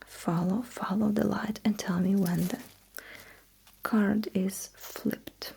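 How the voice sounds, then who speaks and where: A woman whispering in an ASMR roleplay as a technician, giving gentle, slow instructions in short phrases with a breathy, mostly unvoiced voice. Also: medium pitch, steady tone. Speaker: ASMR performer role-playing a sci-fi technician running calibration tests.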